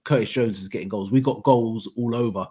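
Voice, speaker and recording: Husky-voiced man debating football. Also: fairly low pitch, brisk. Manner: rambling, restarts sentences, animated. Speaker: football podcast host.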